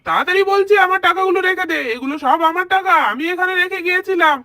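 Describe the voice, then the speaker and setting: A scheming, greedy man from a children's doll story, played by a woman. The voice is high and forceful, bossy and insistent, recorded close and dry. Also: rapid-fire, no room sound. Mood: bossy, demanding.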